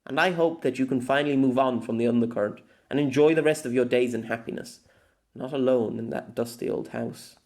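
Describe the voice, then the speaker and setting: A man's voice: mid-range voice, darkish tone, close to an everyday voice. A man voicing a weary, lonely, long-lived empress in an audiobook, reading her farewell letter in a formal, wistful manner. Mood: tender, resolute. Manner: formal, wistful, measured with dramatic pauses. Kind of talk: scripted audiobook narration of a letter.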